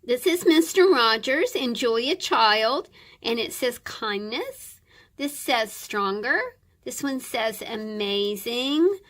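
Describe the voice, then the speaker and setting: A woman's voice: fairly high pitch, expressive, slow pace, a bit bright. A woman with a scratchy, stuffed-up voice chatting casually and in a friendly way as she shows craft items to her viewers. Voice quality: scratchy, croaky; stuffy nose. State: scratchy throat and congestion. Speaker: craft channel host. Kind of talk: casual show-and-tell chat.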